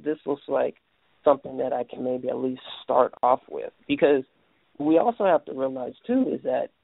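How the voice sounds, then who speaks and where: Male call-in radio host, gravelly, halting, with a dry, phone-thin sound. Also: mid-range voice, no room sound, narrow, tinny audio. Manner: halting, many restarts and fillers. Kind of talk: casual call-in radio talk.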